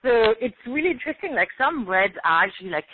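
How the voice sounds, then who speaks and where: A woman's voice: fairly high pitch, quick pace, husky, no room sound. A French woman in her forties with a French accent, chatting casually as a guest and peppering her talk with fillers. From France. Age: 40s. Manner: animated, many fillers like "you know". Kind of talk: casual chat. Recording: tinny phone-like line.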